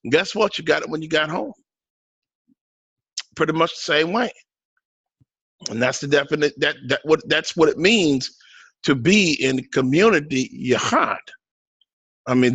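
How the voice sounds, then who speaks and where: A man in the audience makes his point with emphasis and rhetorical questions. His voice is mid-pitched and sits dry and close on the mic. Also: a bit bright, husky, no echo, poor audio. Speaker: audience member. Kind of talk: informal remarks at a live forum.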